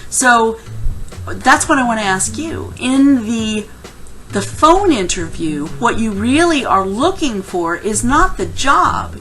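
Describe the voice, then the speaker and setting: A woman's voice: medium pitch, fairly bright tone. A woman giving instructional career advice in a conversational, emphatic way, like a coach speaking to viewers. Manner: conversational, emphatic, acts out example lines. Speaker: career coach presenting interview tips. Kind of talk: instructional advice talk to camera.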